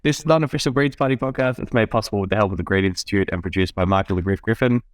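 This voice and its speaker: A man's voice: lowish voice, racing, no room sound, studio quality. A young Australian man hosting a podcast, chatting in a wry, jokey way with some swearing, recorded on earbuds in a small room. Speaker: podcast host. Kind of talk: casual political chat. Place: small room by green wall.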